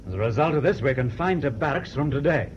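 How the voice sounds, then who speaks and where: An actor playing a steadfast British merchant navy officer held prisoner, speaking frankly in a measured, dogged way with a British accent. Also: male voice, mid-range voice, animated, natural voice. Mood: rueful, apologetic. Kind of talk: radio drama acting. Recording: dull, noisy old radio recording.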